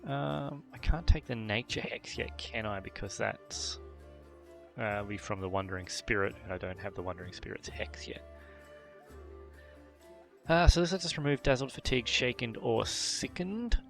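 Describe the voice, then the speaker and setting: A man hosting a game video, thinking aloud through character choices with frequent fillers and throat clearing. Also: mid-range voice, animated, moderate pace, a bit bright, faint music behind. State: voice a bit worn from a long previous session. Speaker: gameplay channel host. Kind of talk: casual gameplay commentary.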